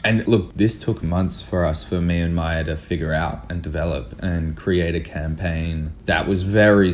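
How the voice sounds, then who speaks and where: Deep-voiced, earnest man chatting in an interview. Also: darkish tone, slight background noise. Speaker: podcast guest, filmmaker. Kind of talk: casual interview chat.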